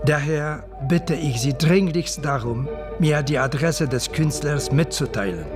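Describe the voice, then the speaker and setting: A man playing an earnest petitioner in a radio play, reading his letter slowly in a slightly high male voice. His delivery is formal, polite and deliberate, with clearly audible music behind him. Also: close to an everyday voice. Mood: earnest pleading.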